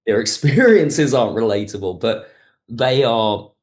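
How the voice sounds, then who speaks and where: A man's voice: medium pitch, animated, a little slow. A man speaking as a writer guest in a reflective podcast interview, circling back and rephrasing as he explains. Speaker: guest, audio drama writer.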